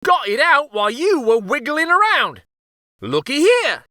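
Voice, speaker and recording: High-pitched, teasing old uncle. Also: male voice, a little slow, no echo, studio quality, exaggerated voice. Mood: gleeful teasing. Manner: folksy, excitable, repeats himself. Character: teasing old uncle, a bearded jokester doctor. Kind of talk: children's storybook character voice.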